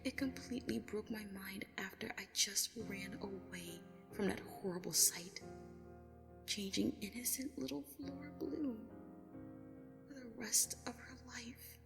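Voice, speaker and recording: A woman playing a traumatized, grief-stricken young female pony recounting horror in a breathy, anguished first-person narration. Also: medium pitch. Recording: long reverb, music underneath. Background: music.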